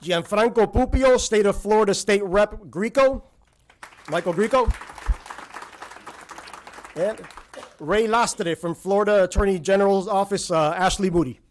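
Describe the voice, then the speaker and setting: A man with a notably high voice acts as master of ceremonies, running through guest recognitions. He reads the names briskly and fills the gaps with "uh"s. Faint noise sits behind him. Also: a faint crowd. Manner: brisk name listing with filler "uh"s. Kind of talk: ceremony emcee announcements.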